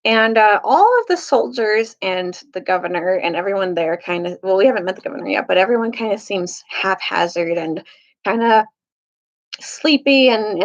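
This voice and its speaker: Halting woman storyteller, dull lo-fi sound. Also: medium pitch. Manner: halting, searching for words, self-correcting. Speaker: storytime teacher reading aloud. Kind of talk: casual recap and storybook read-aloud. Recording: dull lo-fi recording.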